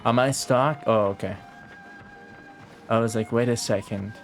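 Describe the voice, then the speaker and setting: Young man streaming, mid-pitched, casual. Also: unhurried, gravelly, soft background music. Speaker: video game streamer. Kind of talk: casual live gameplay commentary.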